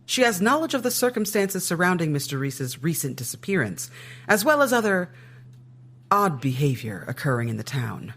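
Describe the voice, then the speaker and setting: An actor playing a guarded, determined intelligence agent in an audio drama, speaking formally, with measured firmness. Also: mid-range voice, big pitch swings, a bit bright. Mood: formal, insistent.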